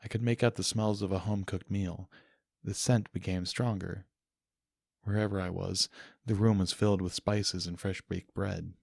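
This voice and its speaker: A man's voice: deep voice, steady tone, normal speed, gravelly, no room sound, clean studio sound. A man narrating a first-person story as a dramatic audiobook reading.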